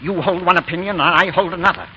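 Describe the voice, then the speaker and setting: An actor playing a stern, officious English headmaster in a 1930s radio play, speaking formally and pompously. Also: male voice, sits high, fast talker, bright, forceful tone. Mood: stern, exasperated. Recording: dull, noisy 1930s radio broadcast.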